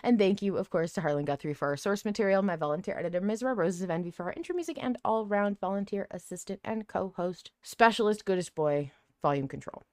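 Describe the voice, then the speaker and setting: A woman's voice: medium pitch, animated, quick pace, few pauses, dry recording, studio quality. A woman hosting a casual fan podcast, chatting in an emphatic, playfully argumentative way. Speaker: podcast host.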